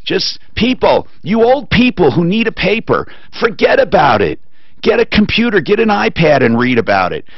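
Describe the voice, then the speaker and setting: A man's voice: sing-song, normal speed, dry recording, muffled recording. A man hosting a call-in radio show, talking with opinionated, emphatic rants into a studio mic. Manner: opinionated ranting, emphatic swings in pitch. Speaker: radio talk show host. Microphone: studio boom mic with headphones.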